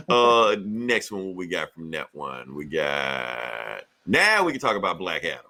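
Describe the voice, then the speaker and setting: A man hosting a casual pop-culture podcast, chatting in a profane, joking, animated way. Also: sits low, takes it slowly. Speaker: podcast host.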